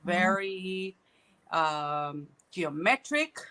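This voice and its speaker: Middle-aged woman from israel, bright voice, slow chatty talk, laughs a lot, video call. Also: lowish voice, animated, slight background noise. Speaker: guest artist. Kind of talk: casual livestream chat.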